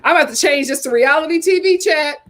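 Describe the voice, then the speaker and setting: A woman's voice: sits high, fast. An American woman hosting a casual chat show, reading aloud and commenting with playful, teasing asides. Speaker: host of a reality TV chat show.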